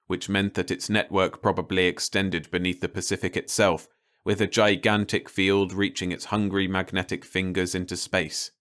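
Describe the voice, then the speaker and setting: A man reading a story aloud as an audiobook narrator, in a measured, wry storytelling manner. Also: sits low, a bit bright. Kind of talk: scripted story narration, read-along audiobook.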